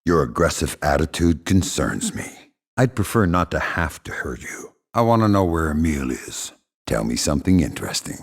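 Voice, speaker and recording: Hardened veteran spy, extremely low voice, heavy gravelly fry, terse, deadpan, menacing, dry sarcasm, video game. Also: male voice, normal speed, full character voice. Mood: quiet menace. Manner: terse, deadpan, dryly threatening.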